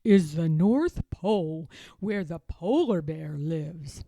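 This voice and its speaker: A kindly old grandfather bear, once a sea captain, played by a woman reading a storybook. He talks very slowly and with emphasis, reassuring and drawn out, in a lively rise and fall. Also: sits low, gravelly, no echo, voice pushed down, full character voice. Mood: explaining, kindly.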